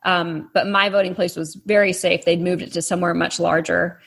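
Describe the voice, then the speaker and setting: A woman's voice: on the low side, little pitch movement, moderate pace, gravelly, a bit bright, slightly rough. A woman from Texas with a faint Texas accent, an author explaining things patiently to a child in a casual interview. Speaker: author guest.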